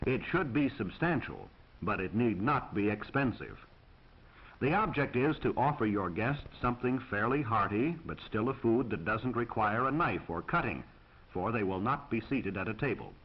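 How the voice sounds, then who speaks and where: Formal american guy narrating an old 1940s how-to film, lively pitch, slightly husky, muffled soundtrack. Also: normal speed. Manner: formal, precise, instructive.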